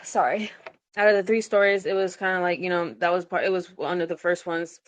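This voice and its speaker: A woman with a somewhat low voice hosts a podcast in a casual, chatty way. She is informal and giggly as she talks about her writing. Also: fairly even intonation, brisk. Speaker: podcast host and story writer. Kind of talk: casual chat.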